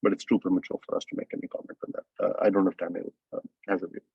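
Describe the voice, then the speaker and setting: A man's voice: sits low, little pitch movement, rapid-fire, vocal fry, husky, no room sound. A man speaking as a company executive, giving guarded, hesitant answers on an online earnings call. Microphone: online conference call. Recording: dull lo-fi call audio.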